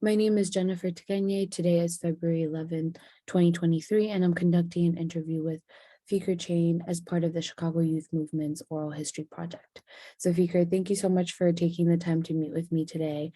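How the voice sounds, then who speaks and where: Woman interviewer. Very soft dark timbre, dry sound, courteous informal questioning. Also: on the low side, flat delivery, brisk, no room sound. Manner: polite, casual. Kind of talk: oral history interview questions.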